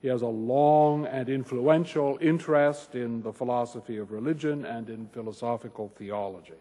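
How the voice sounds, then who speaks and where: A man giving a scripted, formal introduction to a lecture, with a measured delivery and dry humour. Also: medium pitch, mellow. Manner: measured, formal, dryly humorous. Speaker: host introducing a guest lecturer. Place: large lecture hall at a university. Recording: echoey hall, slightly muffled voice.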